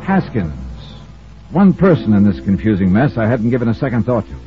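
An American man acting a brisk, commanding, hard-boiled investigator in an old-time radio detective drama, also narrating it. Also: fairly low pitch, big pitch swings, over music. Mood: dry narration. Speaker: lead actor and narrator of a radio detective serial. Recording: muffled old radio recording.

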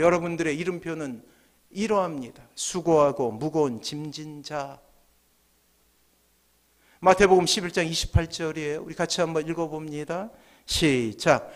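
High-pitched male preacher, emphatic delivery. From South Korea. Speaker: pastor. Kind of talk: sermon. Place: church sanctuary, at the pulpit. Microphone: gooseneck podium microphones.

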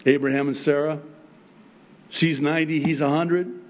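Middle-aged pastor preaching, often chuckling. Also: male voice, a little high, moderate pace, highs cut off. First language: Arabic. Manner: animated, chuckling often, acts out God's replies. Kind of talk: sermon. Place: church stage with wood pulpit and plants, indoors. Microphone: pulpit microphone.

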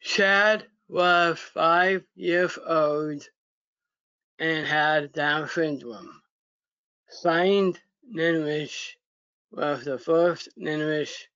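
A man reads a children's story extremely slowly and haltingly, a few words at a time. His voice is a little high for a man. The recording is a dry, muffled video call. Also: bright, forceful tone, clear, resonant tone, no room sound. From United States. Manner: halting word-by-word reading with pauses and stumbles. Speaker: story reader. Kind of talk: reading a children's story aloud.

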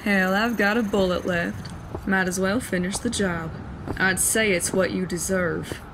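A woman's voice: mid-range voice, steady tone, unhurried, heavy background noise, natural voice. A woman playing a vengeful, crooked sheriff and gang boss, barking and swaggering in a Western audio drama. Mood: menacing, gloating. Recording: loud plucked guitar music behind.

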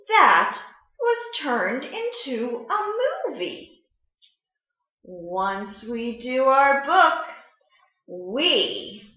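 A middle-aged American woman presenting a storytime lesson for children, explaining in a deliberate, animated way. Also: high-pitched. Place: indoor room at home, seated at a table. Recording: muffled webcam sound in echoey room.

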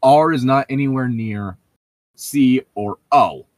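A man's voice: medium pitch, takes it slowly, a little mellow, dry recording. A man co-hosting a read-along show, chatting casually in an opinionated, rambling, sweary way. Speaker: co-host of a group read-along commentary show. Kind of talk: casual chat and mocking commentary.

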